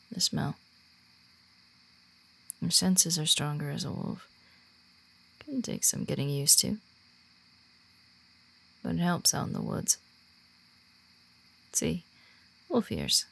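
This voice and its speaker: A woman playing a shy, earnest werewolf who guides a newcomer in a roleplay audio, speaking softly and haltingly. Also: deep voice, creaky, full character voice. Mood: flustered, awkward. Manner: hesitant, halting, awkward when personal. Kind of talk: roleplay audio drama, softly spoken to the listener.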